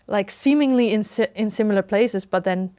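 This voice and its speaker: A woman's voice: mid-range voice, moderate pace, dark tone, no echo, studio quality. A young adult woman with a Dutch accent, a podcast guest talking casually and reflectively, full of "like" and "you know". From Netherlands. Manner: reflective, lots of "like" and "you know", laughs often. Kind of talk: casual conversation.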